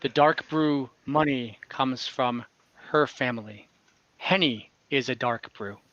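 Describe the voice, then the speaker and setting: A game master acts out a frank, weary male fantasy businessman. He speaks deliberately and plainly, in a bright, unhurried voice. The online game audio is a little muffled. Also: mid-range voice. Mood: frank, resigned.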